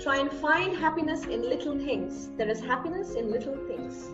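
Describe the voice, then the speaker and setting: A woman from Singapore gently sharing a short message to readers. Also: high-pitched, lively intonation, moderate pace, reverberant, highs cut off. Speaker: contributor giving a reader message. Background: background music.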